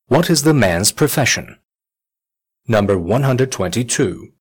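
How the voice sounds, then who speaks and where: Guy reading listening test instructions, kinda husky, calm and formal. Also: mid-range voice, measured pace. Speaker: test announcer. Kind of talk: scripted exam instructions.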